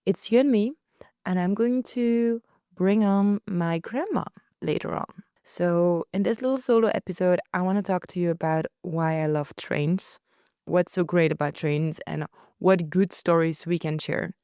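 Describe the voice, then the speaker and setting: Relaxed Belgian woman podcasting from home. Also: on the low side, measured pace, clear, resonant tone, no room sound, clean studio sound. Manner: relaxed, chatty, laughs easily. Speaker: podcast host. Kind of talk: casual solo podcast chat. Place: living room at home.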